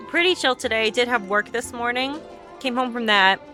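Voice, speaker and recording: A woman streams a game with a bright, slightly high voice. She chats casually in a rambling, giggly way, with faint game music behind her. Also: moderate pace. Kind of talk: casual livestream chat. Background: video game music.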